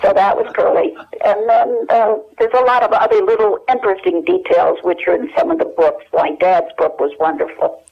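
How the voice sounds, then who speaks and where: A muffled phone line carries an older woman's voice. She is a guest in an interview, reminiscing in a conversational, halting style. Her voice sits at an average pitch for a woman. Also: gravelly. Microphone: telephone. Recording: dull telephone line.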